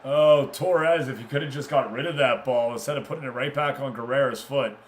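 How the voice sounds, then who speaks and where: A man's voice: medium pitch, brisk, a little hiss or hum. A man doing live, informal play-by-play of a football game, chatting casually with viewers between passes. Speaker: streamer commentating a football management game.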